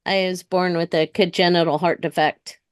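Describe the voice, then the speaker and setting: A woman's voice: fairly low pitch, steady tone, normal speed, smooth, no echo, clean studio sound. A woman in her fifties with an American accent, talking candidly and casually as a guest in an interview. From United States. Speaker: interview guest, retired police dispatcher.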